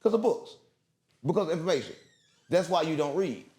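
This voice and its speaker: Fast, animated man ranting loosely. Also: on the high side. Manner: emphatic, repetitive, street slang, profane. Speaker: interview guest. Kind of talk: rambling conspiratorial monologue.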